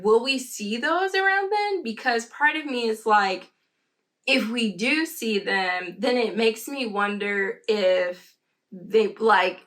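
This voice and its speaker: Female vlogger, mid-pitched with noticeable vocal fry, rambling and conversational, casual storytelling review delivery. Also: a bit bright.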